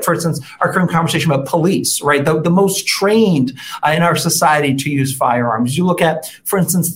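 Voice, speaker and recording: A guest expert in a political interview, speaking in a measured way, citing research and adding frequent "right?" tags. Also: mid-range voice.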